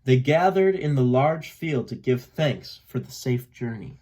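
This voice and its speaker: A man narrating a children's storybook aloud in an expressive read-aloud style. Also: mid-range voice, measured pace. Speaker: storybook reader.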